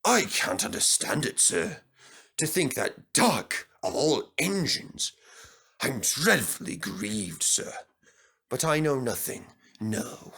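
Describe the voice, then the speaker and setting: A man voicing a sly, scheming male diesel engine in a storybook, speaking quietly with feigned sorrow. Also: medium pitch, big pitch swings, takes it slowly, slightly rough, exaggerated voice. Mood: falsely grieved.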